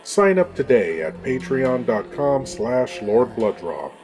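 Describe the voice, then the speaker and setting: Male announcer, scripted promo read, mid-pitched voice, light guitar music behind. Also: sing-song, slow.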